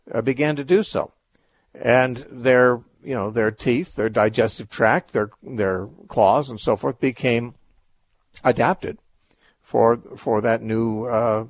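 Measured man hosting a call-in show. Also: mid-range voice, gravelly, no echo. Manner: thoughtful, explanatory, measured with restarts. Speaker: radio call-in show host. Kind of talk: call-in Bible Q&A.

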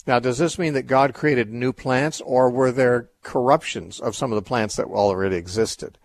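A man's voice: medium pitch, normal speed, creaky. A man hosting a radio call-in show, answering Bible questions in a thoughtful, explanatory way with frequent restarts.

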